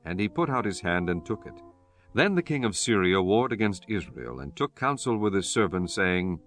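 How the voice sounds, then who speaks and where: A man narrating dramatized scripture in a solemn, measured storytelling manner. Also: deep voice, very animated, fairly bright tone. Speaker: audiobook narrator. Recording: music bed under the voice. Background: music.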